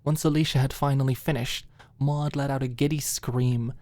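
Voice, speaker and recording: A woman's voice: very deep voice, steady tone, normal speed, a little mellow, no room sound. A woman reading scripted story narration for an ASMR-style audiobook, close to the microphone.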